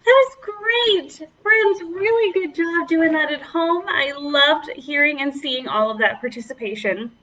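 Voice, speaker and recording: A woman's voice: sits high, measured pace, few pauses, fairly bright tone, distant mic, highs cut off. A woman children's librarian leading a preschool storytime, reading aloud and chatting encouragingly to the children as her friends.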